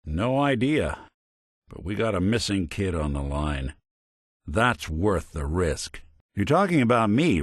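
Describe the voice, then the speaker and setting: A man's voice: deep voice, slightly rough, no echo, clean studio sound, full character voice. A male actor playing a weary old android detective in a video game, talking in wry, slangy, hard-boiled noir style.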